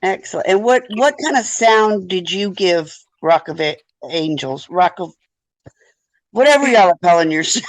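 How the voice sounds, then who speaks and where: A woman's voice: on the low side, lively intonation, moderate pace, dry recording, rough recording. A woman from the American South with a Southern accent, interviewing a band in a chatty way and saying y'all a lot. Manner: chatty, says y'all, laughs easily. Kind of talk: casual interview.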